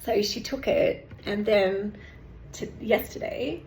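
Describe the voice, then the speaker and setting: Chatty woman, gravelly and echoey. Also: mid-range voice, takes it slowly, a little mellow. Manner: rambling, chatty, many fillers and restarts. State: losing her voice. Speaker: meditation teacher and channel host. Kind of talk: casual vlog update. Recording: echoey room with faint background noise.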